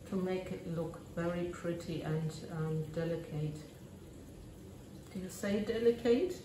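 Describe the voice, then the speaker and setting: A German woman with a German accent, narrating her resin crafting casually and often pausing to search for English words. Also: lowish voice, reverberant, far from the mic, noisy background.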